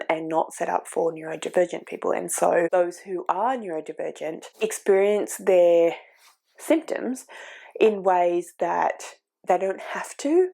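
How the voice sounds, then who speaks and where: A woman's voice: on the low side, gravelly, mellow. A woman with a cold, sniffly as she chats earnestly about a book she has just finished on her reading vlog. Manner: earnest, thinking aloud with fillers. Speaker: book vlogger. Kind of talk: casual reading vlog chat.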